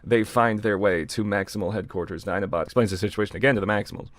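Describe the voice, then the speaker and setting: Fast-talking man with a lowish voice. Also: a bit bright, no room sound. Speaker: co-host reading fan fiction aloud. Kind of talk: casual reading aloud with off-the-cuff commentary.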